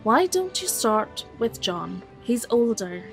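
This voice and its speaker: Wry, high-voiced adult daughter. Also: female voice, big pitch swings, takes it slowly, vocal fry, soft background music, heavily acted. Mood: wry, exasperated. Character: devoted adult daughter, wry, reluctant, teasing. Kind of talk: audio drama acting.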